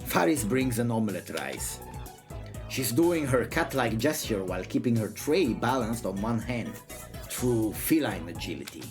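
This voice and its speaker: A man's voice: mid-range voice, very animated, normal speed. A man reading a story aloud expressively, theatrical and irreverent, breaking off for side remarks. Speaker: streamer reading a visual novel aloud. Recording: music behind the voice. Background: music.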